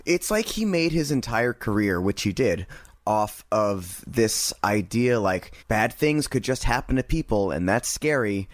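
A man's voice: on the low side, very animated, measured pace, no room sound. A man co-hosting a podcast, giving an opinionated movie review in casual chat with sarcastic jabs. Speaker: podcast co-host.

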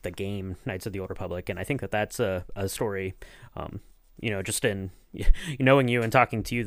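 A man's voice: lowish voice, no room sound. A man hosting a casual podcast interview, talking in long, rambling, circling sentences.

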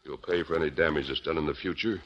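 An actor playing a steady masked male Western hero who speaks calmly and firmly in a 1940s radio drama. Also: rumbling low, fast, dull audio. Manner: calm, firm and commanding.

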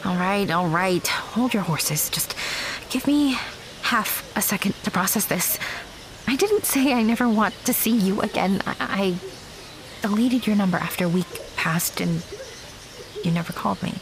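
A woman's voice: medium pitch, lively intonation, moderate pace, noisy background, natural voice. An actress playing a candid, guarded young woman in a naturalistic audio drama, talking in a casual, direct way. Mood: exasperated, softening. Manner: frank, casual, conversational.

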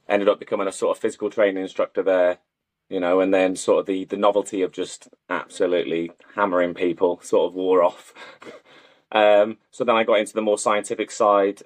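A man from North Wales with a deep voice, recorded dry and close. He answers as a podcast guest in an informal, matter-of-fact way, like a coach chatting about his work. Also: no room sound. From Wrexham, North Wales. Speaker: guest, football strength and conditioning coach. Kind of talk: casual interview chat.

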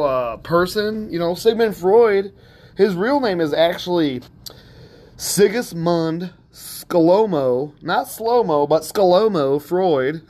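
A man hosting a self-help podcast, talking in a rambling, conversational way with plenty of fillers and a soothing tone. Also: fairly high pitch. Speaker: podcast host.

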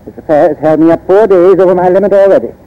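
An actor playing a blunt, grumbling American merchant captain, full of indignation, in 1940s radio style. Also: male voice, high voice, quick pace, lightly acted. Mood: indignant. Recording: muffled old radio recording.

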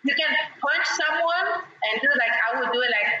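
A woman from Argentina, speaking as a voice and presence coach in an interview and telling animated stories. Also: high-pitched, moderate pace. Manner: animated storyteller who demonstrates voices. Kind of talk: podcast interview guest. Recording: bright, echoey, distant, slightly dull with faint hiss.

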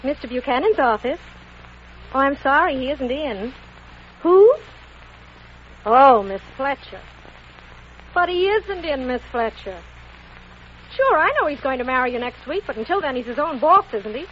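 Sassy fed-up secretary on old radio comedy, high swoopy voice, muffled hissy recording. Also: female voice, lively intonation, normal speed, pauses often. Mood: exasperated. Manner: exasperated, wisecracking. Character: brisk sassy secretary fielding a demanding caller on phone. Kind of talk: 1940s radio comedy acting.

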